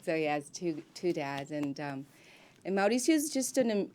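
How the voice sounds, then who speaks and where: A woman who grew up in Miami, an actress guest chatting in an interview, warm and effusive, with frequent ums and restarts. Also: low voice, very animated, moderate pace. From grew up in Miami, United States. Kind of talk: casual interview chat.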